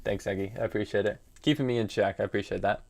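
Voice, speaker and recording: A man's voice: on the low side, steady tone, fast, creaky, darkish tone. A young American man in his early twenties streaming chess, thinking aloud about his moves and answering chat in a casual, musing way. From United States. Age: young adult. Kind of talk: live commentary while playing chess.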